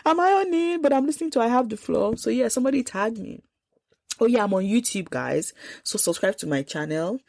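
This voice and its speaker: A Nigerian woman in her thirties hosting a solo podcast, congested with a sore throat, talking in an emphatic, animated way. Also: a little high, moderate pace, vocal fry, no echo, clean studio sound. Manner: animated, emphatic, quotes people in lively asides. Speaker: podcast host.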